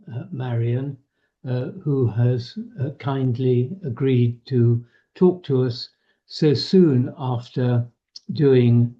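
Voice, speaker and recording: A man introducing a speaker at an online meeting, talking slowly and hesitantly with many fillers. The call audio sounds dull and lo-fi. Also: mid-range voice. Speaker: chair of the committee. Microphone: video call.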